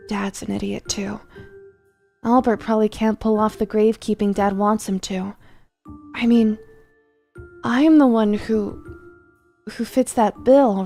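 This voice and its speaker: A woman playing a resentful younger boy in a drama monologue, brooding in a subdued, bitter and halting way. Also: on the high side, darkish tone, close to an everyday voice.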